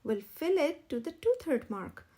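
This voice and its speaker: Bossy storybook rooster chef played by a woman, high, emphatic, highly animated, easily exasperated. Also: unhurried. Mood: exasperated, firm. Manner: emphatic, instructive. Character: exasperated, commanding male rooster chef, storybook team leader.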